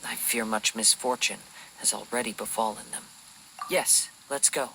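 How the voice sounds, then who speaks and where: A man voicing a calm, perceptive young wandering samurai in a video game, speaking in a measured, formal, reflective way. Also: lowish voice, gravelly, slight background noise, low-quality recording. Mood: grave, resolute.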